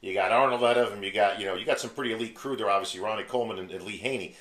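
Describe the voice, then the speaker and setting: A man's voice: lowish voice, racing, a bit bright. An American man hosting an interview, friendly and chummy, often laughing with his guest. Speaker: podcast host. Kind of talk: casual interview chat. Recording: echoey room sound.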